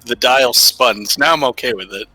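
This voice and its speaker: A man's voice: mid-range voice, normal speed, bright, forceful tone, rough recording. A man playing in a tabletop roleplaying game, wry and laughing at his own jokes. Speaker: player. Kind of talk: casual roleplay chat.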